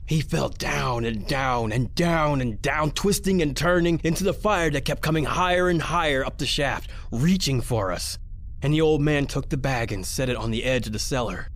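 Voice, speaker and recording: A man's voice: medium pitch, moderate pace, fairly bright tone, slight background noise, close to an everyday voice. A man acting a desperate young criminal who tells his own story in a horror radio play. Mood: tense narration.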